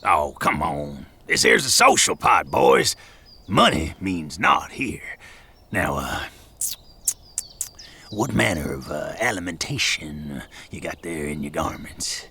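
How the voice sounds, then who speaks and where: An actor playing a sly, florid hobo boss in an audio drama, with a rough voice and folksy, mock-fancy wording. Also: male voice, extremely low pitch, very animated, slow pace, bright, forceful tone, a little hiss or hum, exaggerated voice. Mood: sly, coaxing. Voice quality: gravelly, rough. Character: sly, florid older hobo presiding over a stew pot.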